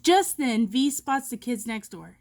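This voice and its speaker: Very animated, high-pitched woman storyteller. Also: a little slow, gravelly. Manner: expressive, dramatic storytelling. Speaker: children's story reader. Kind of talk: animated storybook read-aloud.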